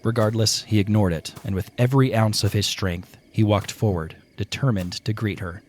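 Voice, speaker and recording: A man narrating a scripted fantasy audio play with dramatic, deliberate storytelling. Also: fairly low pitch, fairly even intonation, a little mellow, slight background noise. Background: prominent music.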